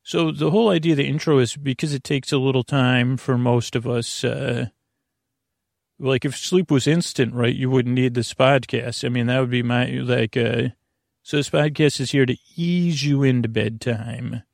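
A man hosting a bedtime podcast in a rambling, halting monologue, circling back and losing his thread, with a creaky, gravelly voice. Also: medium pitch, steady tone, dry recording, studio quality. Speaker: podcast host. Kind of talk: rambling bedtime monologue to help listeners sleep.

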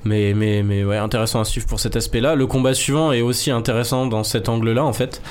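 A slightly hoarse man hosting a casual sports discussion, speaking informally and often thinking aloud as he goes. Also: mid-range voice, fairly even intonation, a little fast. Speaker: host of an MMA discussion show.

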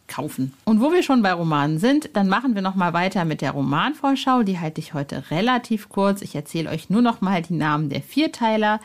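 Chatty woman hosting a podcast. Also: on the low side. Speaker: podcast host.

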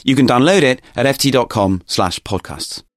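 A man's voice: medium pitch, expressive, moderate pace, no room sound, studio quality, faint music behind. A man reads a scripted podcast promo as an announcer.

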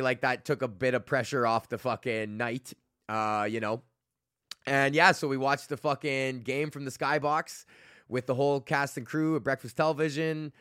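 A man's voice: medium pitch, fairly bright tone, clear, resonant tone, no echo, studio quality. A young man from Canada, hosting his own podcast, rambling in a loose, profane rant packed with "like". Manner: loose and profane, heavy use of "like". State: recovering from losing his voice. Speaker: podcast host. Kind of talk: casual solo rant.

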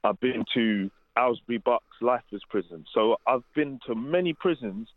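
A man's voice: medium pitch, expressive, moderate pace, dry recording. A British man giving casual, colloquial, unscripted answers as an interview guest over the phone. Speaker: interview guest, former prisoner. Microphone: phone call. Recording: narrow, tinny phone line.

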